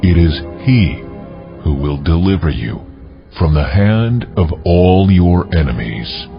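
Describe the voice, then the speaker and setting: A man playing God as a solemn, commanding deity, speaking with deliberate authority in a dramatized scripture reading. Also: deep voice, animated, gravelly, exaggerated voice. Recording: dull lo-fi audio, music underneath.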